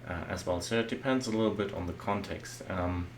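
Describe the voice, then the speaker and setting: Low-voiced German man explaining software. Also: fairly quick, a little hiss or hum. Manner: loose and conversational, many "well" and "uh" fillers. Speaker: software architect presenting. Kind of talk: explanatory slide talk.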